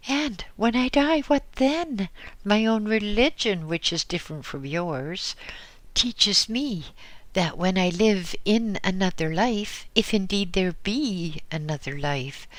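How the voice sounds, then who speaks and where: A man voicing a grieving old widow who is frightened and desperate, with tearful, pleading outbursts that break high. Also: fairly bright tone, no room sound, full character voice. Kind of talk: audiobook reading.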